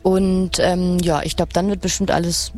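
A woman's voice: lowish voice, flat delivery, moderate pace, darkish tone, slight background noise. A woman co-hosting live festival radio, chatting and asking questions in a casual, colloquial way. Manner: informal, colloquial. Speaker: radio co-host and interviewer. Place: open lounge area at a festival site. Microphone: broadcast microphone.

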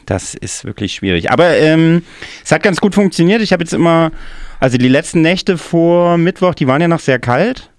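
A man's voice: a little high, lively intonation, moderate pace, no echo, studio quality. A man hosting a music show in casual, rambling, joking chat. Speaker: radio music show host. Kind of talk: casual chatty radio moderation.

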